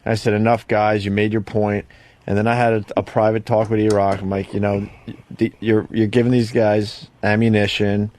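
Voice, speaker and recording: A man's voice: on the low side, flat delivery, moderate pace, a little mellow, a little hiss or hum. A man hosting a radio show, talking casually with a needling, profane, teasing manner.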